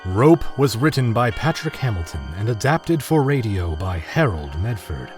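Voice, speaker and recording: A male announcer reading closing credits in a formal, even manner. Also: fairly low pitch, moderate pace, faint music behind.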